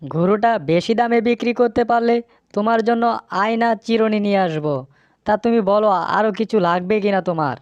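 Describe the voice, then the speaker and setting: A woman's voice: mid-range voice, brisk, darkish tone, no echo, muffled recording, natural voice. A woman voicing a wily, fawning male fox who sells cattle in a village cartoon. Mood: reassuring. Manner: fawning, sly, evasive. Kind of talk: cartoon dialogue.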